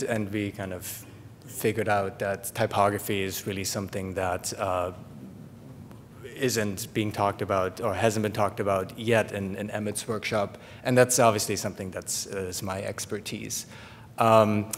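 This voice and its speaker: German-accented man, middle-aged, guest lecturer; lowish voice, halting delivery, reverberant hall. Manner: halting, many fillers and restarts. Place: large lecture hall, at a podium. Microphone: podium microphone. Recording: long hall reverb, faint rumble.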